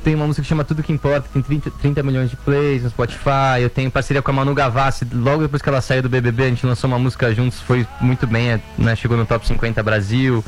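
Rambling Brazilian singer with a lower voice. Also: male voice, quick pace, hardly any pauses. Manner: relaxed, rambling with many fillers. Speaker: singer guest. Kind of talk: casual interview chat.

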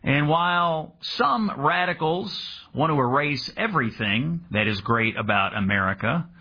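A man hosting a radio talk show, introducing a guest with upbeat patriotic framing and some halting asides. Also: on the high side, expressive, slightly rough. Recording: dull lo-fi radio sound.

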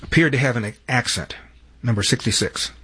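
A man hosting a radio talk show, taking calls and arguing his points in a measured way. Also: medium pitch, sharp tone, breathy edge, dry recording. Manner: measured, argumentative, repeats himself when interrupted.

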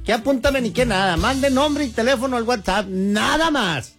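A man's voice: very high-pitched, normal speed, fairly bright tone. A man with a northern Mexican accent hosting live radio, bantering casually with listeners in playful, slangy, repetitive patter. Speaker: radio host. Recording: music playing behind the voice.

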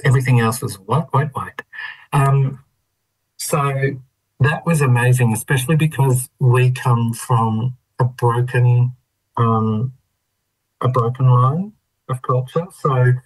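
An Australian man in his fifties from Melbourne's western suburbs, telling his own story in a reflective, thoughtful, halting way as an interview guest. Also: mid-range voice, darkish tone, breathy edge, low-quality recording. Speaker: interview guest, trained dancer. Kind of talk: reflective personal storytelling in conversation.

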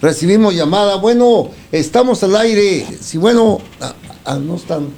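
A man's voice: high voice, lively intonation, measured pace, vocal fry, mellow. A man with a Mexican accent hosting a radio show in casual talk, rambling and repeating himself. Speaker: radio host.

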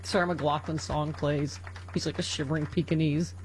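A woman's voice: low-pitched, fairly even intonation, normal speed, a little hiss or hum, poor audio. A middle-aged woman hosting a political talk radio show, reading news items and joking sardonically between them. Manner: sardonic, laughs often, reads news items aloud.

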